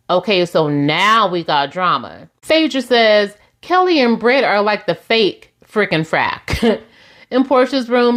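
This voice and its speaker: A woman, recapping reality TV in a sassy, chatty way and laughing often. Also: medium pitch. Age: 40s. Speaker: recap host.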